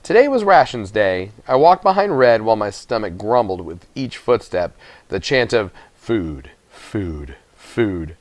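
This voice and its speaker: A man's voice: mid-range voice, very animated, moderate pace, dry recording. A man narrating a children's novel aloud from the text as a storybook reader.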